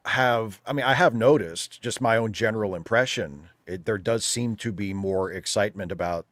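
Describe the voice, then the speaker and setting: A man's voice: lowish voice, moderate pace, a bit bright, no echo. A man commenting casually as a livestream panel guest, making points in emphatic short statements. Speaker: panel guest, video critic.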